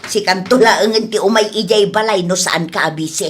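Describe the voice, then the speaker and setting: A woman's voice: medium pitch, brisk, lightly acted. A woman acting an outspoken, emotional village woman in an audio comedy drama. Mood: scandalized, teasing.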